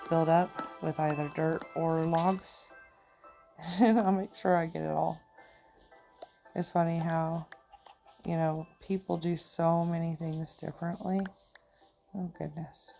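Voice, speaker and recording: A middle-aged woman with a country accent talks through her gameplay in a chatty, giggly way. Her voice sits low and mellow. Faint game music plays underneath. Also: gravelly. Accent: Southern US country. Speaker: gamer. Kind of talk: casual gameplay commentary.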